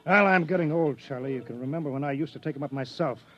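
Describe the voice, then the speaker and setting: A middle-aged man acting a gruff, anxious, guilt-ridden rocket program boss in a 1950s radio drama, speaking with urgent emphasis. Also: a little high, quick pace, fairly bright tone, close to an everyday voice. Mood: weary, grieving. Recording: dull 1950s radio recording.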